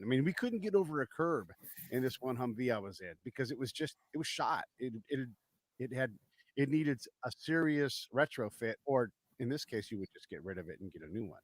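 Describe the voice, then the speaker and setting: A man's voice: medium pitch, no room sound. A man hosting a podcast, asking questions in a casual, conversational way. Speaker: podcast host. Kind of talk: podcast interview.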